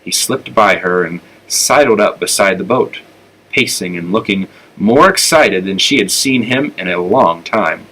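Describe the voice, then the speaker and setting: A man narrates a children's storybook expressively, as a school storyteller would. His voice sits somewhat low. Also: lively intonation, a little slow, bright, forceful tone, breathy edge, rough recording.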